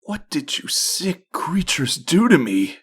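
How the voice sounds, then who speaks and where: A man's voice: a little high, big pitch swings, slow pace, a bit bright, husky, heavily acted. A man playing a frightened, pleading newspaper reporter who sounds rattled and out of his depth, in a comic dub. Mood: alarmed.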